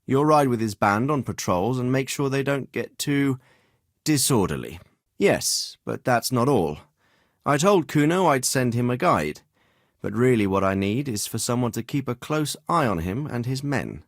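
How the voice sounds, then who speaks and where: An actor playing a dignified, middle-aged medieval lord in a video game, fatherly but shrewd, with a measured, authoritative and well-spoken delivery. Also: medium pitch, big pitch swings, dry recording, clean studio sound. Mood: businesslike, frank. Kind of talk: scripted game dialogue.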